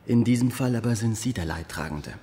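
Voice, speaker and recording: An actor playing a formal, fussy archive clerk with a wry, measured manner in a radio drama. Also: male voice, lowish voice, expressive, mellow, close to an everyday voice. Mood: wry, courteous. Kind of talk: German radio drama acting. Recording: long hall reverb, faint room noise.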